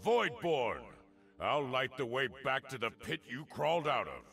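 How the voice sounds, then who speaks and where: A male actor playing a cocky, wisecracking old veteran warrior in a fantasy video game, gravelly and wry as he taunts. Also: mid-range voice, sing-song, a little slow, a bit bright, heavily acted. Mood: confident, defiant. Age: older. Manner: wry, taunting, pun-loving delivery. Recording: music behind the voice.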